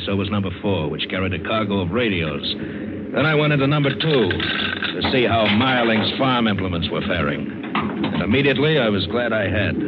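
Hard-boiled ship's mate for radio adventure, male actor, American, deepish, clipped and cynical, dull vintage sound. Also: heavy background noise, lightly acted. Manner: terse, sardonic, tough-guy delivery. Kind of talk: 1940s-50s American radio adventure drama acting and narration. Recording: dull old radio broadcast, loud music.

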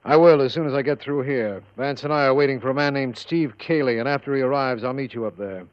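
Guy playing a formal american DA, polite and businesslike, medium pitch, muffled old radio show. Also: quick pace, no room sound, close to an everyday voice. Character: formal, unhurried male district attorney. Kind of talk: 1950s American radio drama acting.

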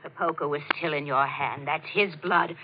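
An actress playing a stern, grieving housekeeper in a 1940s radio melodrama, sharp and vehemently accusing. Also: female voice, deep voice, fairly even intonation, moderate pace. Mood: grieving accusation. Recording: muffled, noisy vintage radio recording.

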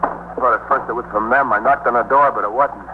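An actor playing an excitable, helpful working-class male building superintendent in a 1950s radio police drama, talking colloquially and urgently. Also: mid-range voice, brisk, lightly acted. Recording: very noisy narrowband 1950s radio recording.

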